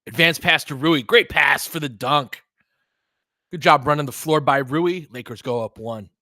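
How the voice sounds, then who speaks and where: Bright-voiced man calling basketball casually. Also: fairly high pitch, moderate pace, dry recording, clean studio sound. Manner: clipped phrases, chatty asides to listeners. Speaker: play-by-play host of a fan audio stream. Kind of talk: casual basketball play-by-play commentary with analysis.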